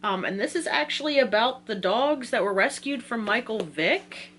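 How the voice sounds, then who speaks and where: An American woman from Virginia talking casually in an excitable, rambling, slangy way, like a book vlogger. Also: on the high side, moderate pace. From Virginia, United States. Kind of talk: casual chatty video talk.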